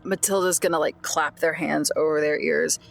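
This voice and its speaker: A woman's voice: low voice, steady tone, normal speed, gravelly. A woman talking casually as a player in a tabletop roleplaying game.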